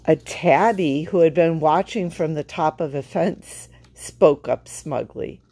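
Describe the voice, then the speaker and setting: Low-pitched, unhurried woman reading a storybook. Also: mellow, slightly rough, no room sound. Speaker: storytime reader. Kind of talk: children's picture-book read-aloud.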